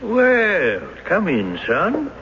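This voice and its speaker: An actor in a 1970s radio drama playing the genial, unhurried ghost of a dead man with a teasing, drawling calm. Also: sits high, exaggerated voice. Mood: genial, eerie calm. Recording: dull, noisy 1970s radio recording.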